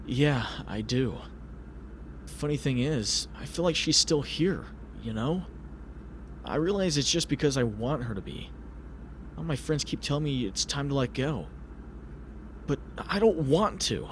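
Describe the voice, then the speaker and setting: A man's voice: medium pitch, lively intonation, a little slow, long pauses, slight background noise, natural voice. A man playing a wry, self-deprecating young superhero who works as a reporter, in a comic dub. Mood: grieving. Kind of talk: comic dub acting with narration.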